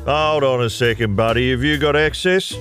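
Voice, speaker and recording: A man's voice: medium pitch, fairly bright tone, faint music behind, natural voice. A man playing an officious doorman who checks memberships at an exclusive club in a comedy sketch. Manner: brisk, officious gatekeeping. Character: stern male doorman guarding a members-only club.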